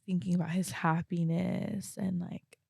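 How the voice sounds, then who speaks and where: A young woman talking reflectively and casually as a podcast guest, halting often and peppering her speech with "like". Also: fairly low pitch, flat delivery, vocal fry, dark tone, slightly rough, dry recording, clean studio sound. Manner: halting, frequent "like" as verbal filler.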